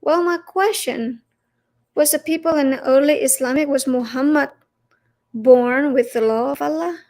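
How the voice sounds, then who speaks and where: A woman with an Indonesian accent presents a religious lesson in a very high-pitched voice. Her delivery is slow and halting, with emphatic stresses. She leans on challenging rhetorical questions as she teaches. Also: a little mellow.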